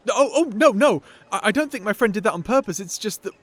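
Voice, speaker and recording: A man's voice: high voice, expressive, quick pace, a bit bright, dry recording. A man playing a shy, nerdy young wizard in a fantasy audio roleplay, awkward, apologetic and polite, stammering through his words. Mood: awkward, grateful.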